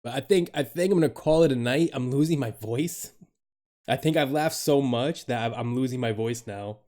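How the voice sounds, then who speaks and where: A man's voice: mid-range voice, moderate pace, warm tone. Male streamer, casual, loose and swears easily, laughs a lot while chatting with viewers on a home desk mic. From Dominican Republic. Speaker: live streamer.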